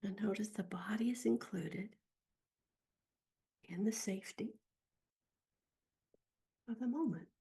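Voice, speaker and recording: A woman leading a guided meditation as a teacher, speaking gently and softly in a breathy, husky voice with long pauses. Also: medium pitch. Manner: slow, gentle, soft-spoken with long pauses.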